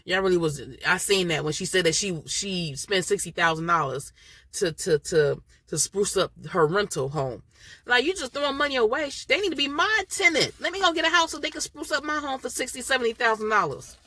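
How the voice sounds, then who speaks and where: This is a woman with a bright, crisp voice who sounds stuffy from a cold. She chats loosely and laughs often, and the recording sounds dry and close. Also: medium pitch, a little fast, dry recording. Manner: chatty, laughs often, loose slangy talk. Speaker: reality TV reviewer. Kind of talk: casual chat.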